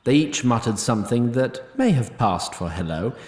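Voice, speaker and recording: A man playing a wry, pedantic storyteller, narrating a fantasy audio drama in a formal, precise, deadpan way. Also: medium pitch, normal speed, echoey room, lightly acted.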